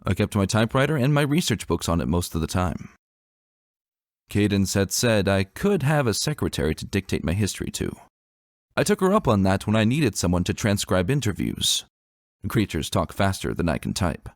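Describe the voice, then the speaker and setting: A man's voice: deep voice, sing-song, normal speed, dry recording, studio quality. A man playing an earnest, self-deprecating wounded soldier prince, a stallion narrating his own dramatic story. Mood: reflective, measured.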